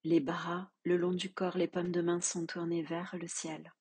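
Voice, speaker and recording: Breathy, slow woman guiding deep relaxation. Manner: calm, measured instruction with long pauses. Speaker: yoga nidra teacher. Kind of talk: guided relaxation meditation.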